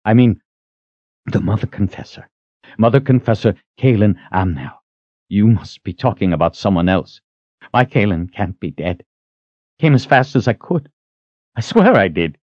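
A man's voice: on the low side, animated, moderate pace, long pauses, a little mellow, no echo. A man voicing a young swordsman who speaks in a tense, pressing way. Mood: urgent. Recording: dull lo-fi audio.

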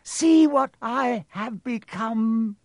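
A man voicing an evil, disembodied dark lord in a storybook, with a high, whispery hiss and a cold, menacing, drawn-out delivery. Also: no room sound, studio quality, exaggerated voice. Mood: sinister, gloating.

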